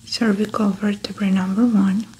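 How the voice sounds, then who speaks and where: A young woman whispering softly in an ASMR medical roleplay, calmly narrating a clinical exam as she works. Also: mid-range voice, moderate pace, a little hiss or hum, rough recording. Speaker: ASMR creator playing a doctor. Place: small indoor studio set.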